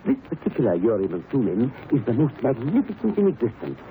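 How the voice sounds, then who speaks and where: A man's voice: medium pitch, big pitch swings, fast. A fussy, anxious curator in an old radio mystery. His voice swings animatedly and he hesitates in a formal, stammering way. The recording is muffled with some background noise. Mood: proud, fussy. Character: fussy, anxious male museum professor, superstitious despite himself. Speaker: museum curator. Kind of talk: 1930s radio mystery acting.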